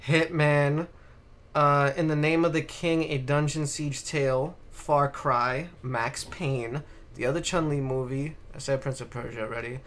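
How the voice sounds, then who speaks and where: A man's voice: on the high side, steady tone, unhurried, fairly bright tone. A man hosting a podcast, chatting casually and ranting with exasperated emphasis, repeated words and casual swearing. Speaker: podcast host. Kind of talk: casual chat, ranting film commentary.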